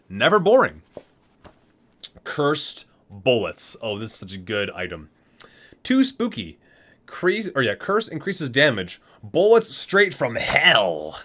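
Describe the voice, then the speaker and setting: ASMR man with widely varying pitch. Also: very animated, a little slow, fairly bright tone. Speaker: video creator reading aloud from a game guide book. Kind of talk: ASMR reading with casual asides.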